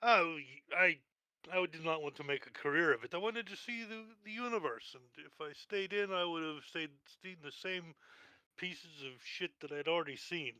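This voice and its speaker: Man playing a halting, bright-voiced ex-military sniper. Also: fairly high pitch, clean tone, no room sound, low-quality recording. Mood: halting, reserved. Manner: halting, formal, stilted phrasing. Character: reserved male ex-military sniper seeking work.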